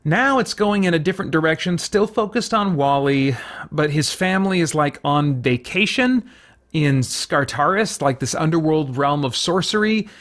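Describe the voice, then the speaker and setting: A man's voice: fairly high pitch, expressive, normal speed, fairly bright tone. A man hosting a review show, talking casually in unscripted commentary and searching for his words as he thinks aloud. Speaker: host of a comic book review show.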